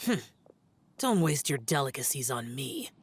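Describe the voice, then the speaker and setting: A man's voice: mid-range voice, very animated, a little slow, slight background noise. A man voicing a stern, aloof young immortal demon slayer in a video game, speaking tersely and solemnly. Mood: stern, brooding. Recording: faint music behind.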